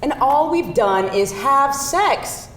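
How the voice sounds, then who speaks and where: A woman's voice: lively intonation, slow. A woman playing an earnest, exasperated girlfriend of about thirty at a live screenplay table read, speaking firmly and expressively. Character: earnest, exasperated girlfriend around thirty, wanting real commitment. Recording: roomy live hall, faint rumbling noise.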